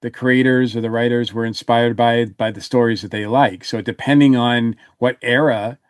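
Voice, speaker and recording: A man's voice: medium pitch, steady tone, moderate pace, clean tone, no room sound. Man chatting casually, very dry close sound. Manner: rambling, often trailing off and rephrasing. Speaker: podcast panelist reviewing audio dramas. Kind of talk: casual panel discussion.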